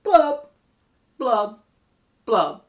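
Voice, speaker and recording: A woman's voice: a little high, very animated, very slow, heavily acted. A woman voicing a gloomy male fish in a storybook reading, with a droning sing-song delivery. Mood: glum. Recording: echoey room from far off.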